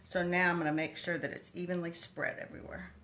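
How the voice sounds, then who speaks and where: A woman's voice: lowish voice, little pitch movement, fast, very noisy. A woman from Texas with a Texan accent, hosting a casual live cooking stream and chatting with viewers by name in a kitchen. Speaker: cooking livestream host. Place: home kitchen.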